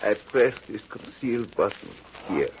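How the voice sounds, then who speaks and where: A man playing a calm, defiant old man who is dying, in a 1940s radio drama, dull lo-fi broadcast sound. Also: fairly low pitch, slight background noise. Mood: grimly calm. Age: older. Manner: slow, quiet, grimly resolute.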